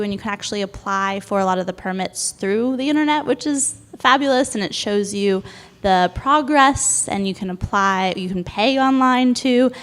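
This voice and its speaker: A woman with a small, squeaky voice speaking on a panel in a chatty, upbeat way. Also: fairly bright tone, clear, resonant tone. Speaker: panelist, event producer. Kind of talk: panel discussion. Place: large hall with an audience. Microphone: handheld microphone. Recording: hall reverb.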